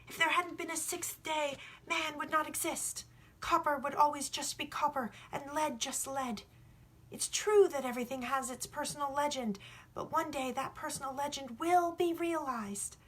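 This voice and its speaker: A woman's voice: high-pitched, fairly even intonation, normal speed, fairly bright tone, voice pushed up. A woman voicing an earnest, bold young boy who philosophizes emphatically in a storybook read. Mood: earnest, persuasive.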